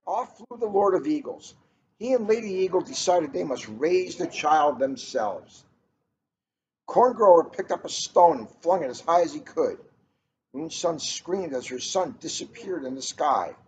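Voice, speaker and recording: A man reading a children's story aloud in an expressive, dramatic way, drawing out key words for emphasis. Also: fairly high pitch, unhurried. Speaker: storybook reader. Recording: noisy, dull lo-fi recording.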